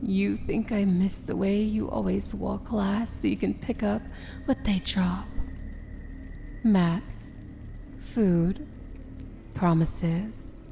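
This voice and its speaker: A woman acts out a regal princess of evil in a fantasy romance ASMR piece. She speaks slowly and deliberately at a medium pitch, proud and wry with a tender, hushed edge. Background ambience sits audibly under the voice. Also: fairly even intonation, creaky, slight background noise. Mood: tender, knowing. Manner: theatrical, deliberate, often dropping to a hushed whisper. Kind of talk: ASMR fantasy romance roleplay, intimate dramatic monologue.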